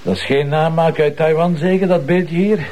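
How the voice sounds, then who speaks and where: An actor playing a sardonic, self-assured police commissioner with a Flemish accent, mocking and probing in a naturalistic TV crime drama. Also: male voice, a little high, steady tone, normal speed, a little mellow, a little hiss or hum, natural voice. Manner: mocking, probing, dryly ironic.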